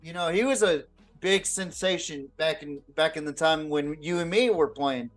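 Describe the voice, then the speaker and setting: A man's voice: on the high side, moderate pace. A man hosting a casual, unscripted football podcast, talking bluntly with swearing and often repeating words as he goes. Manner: blunt, profane. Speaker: sports podcast host. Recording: music behind the voice. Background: music.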